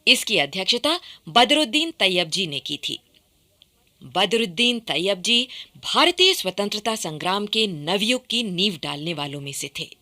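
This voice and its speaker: A woman reading scripted radio documentary narration with formal, expressive enunciation. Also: medium pitch, a little slow.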